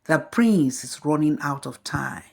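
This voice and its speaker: A woman telling a folktale as scripted storybook narration, in a slow, measured way. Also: deep voice, lively intonation, vocal fry.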